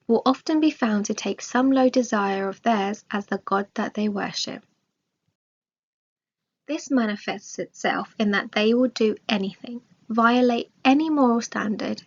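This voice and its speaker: A woman reading a scripted religious study aloud in a measured, formal way. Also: on the high side, gravelly. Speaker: reader of religious study text. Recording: dull, lo-fi sound.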